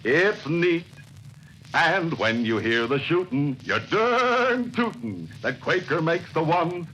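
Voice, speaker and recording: A man playing a folksy, jaunty pitchman in a drawn-out 1950s-style radio cereal advertisement. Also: big pitch swings, bright, forceful tone, slight background noise, full character voice, low-quality recording.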